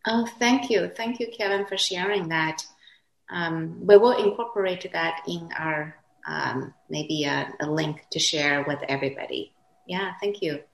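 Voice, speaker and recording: Woman, native Chinese speaker, low voice, unhurried, gentle and hesitant, echoey room on a video call. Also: vocal fry. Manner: gentle, hesitant, with soft laughs. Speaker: class co-teacher and moderator. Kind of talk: online class moderation.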